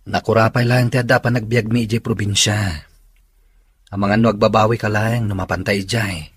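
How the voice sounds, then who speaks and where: Man acting a husky, reluctant boyfriend. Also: lowish voice, little pitch movement, brisk, dry recording, clean studio sound. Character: reluctant, thrifty working boyfriend from the province. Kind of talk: audio drama acting.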